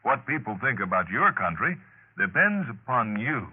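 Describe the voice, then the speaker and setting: An American man reading a scripted 1950s radio announcement in a formal, deliberate manner. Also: mid-range voice, very animated, breathy edge. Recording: muffled, tinny 1950s radio broadcast.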